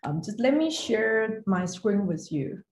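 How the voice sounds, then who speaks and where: A woman's voice: medium pitch, very animated, a little slow, slight background noise. Echoey woman presenting. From Taiwan. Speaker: finance executive, guest speaker. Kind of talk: prepared online presentation. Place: indoor room on video call. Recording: roomy, echoey online call audio.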